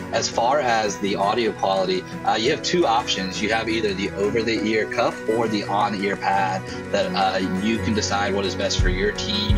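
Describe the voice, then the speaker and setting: Low-voiced man over clear background music. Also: rough recording. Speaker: church creative director, interviewee. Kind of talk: explanatory testimonial to camera. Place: bedroom at home. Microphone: close dynamic mic on boom arm.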